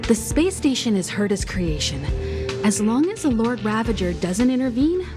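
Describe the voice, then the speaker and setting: A woman's voice: on the high side, big pitch swings, moderate pace. An actress playing a poised, decisive female space traveler in a video game cutscene. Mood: calm, resolute. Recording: loud music bed underneath.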